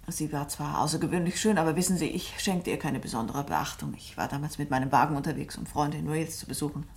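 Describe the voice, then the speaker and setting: Low-voiced, composed woman novelist. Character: composed, observant female novelist, cool and considerate. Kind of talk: German radio play dialogue.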